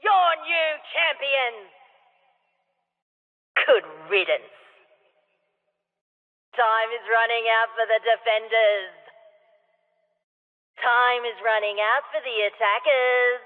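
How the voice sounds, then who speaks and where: A very high, slow, taunting voice rings out with long reverb. It is a brash junkyard arena ruler from a video game, theatrical and sardonic. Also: male voice. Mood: commanding, showy. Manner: theatrical, taunting, drawn-out announcing. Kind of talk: video game announcer lines. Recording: muffled lo-fi sound, long hall reverb.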